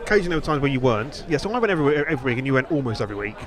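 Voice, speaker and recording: A man's voice: medium pitch, big pitch swings, racing, a crowd in the background. A man hosting a podcast in casual, reminiscing chat, rambling and often correcting himself as he goes. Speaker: podcast host.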